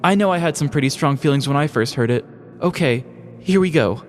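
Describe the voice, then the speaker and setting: Man putting on a very high-pitched gushing woman. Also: lively intonation, a little fast, in a large hall, faint music behind, natural voice. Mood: eager. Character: breathy, infatuated young woman defending her steamy novel.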